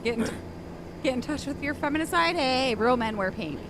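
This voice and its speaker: A woman's voice: sits high, animated, normal speed, background noise. A woman co-hosting a live stream, chatting casually and reading chat messages aloud with frequent laughs. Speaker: stream co-host.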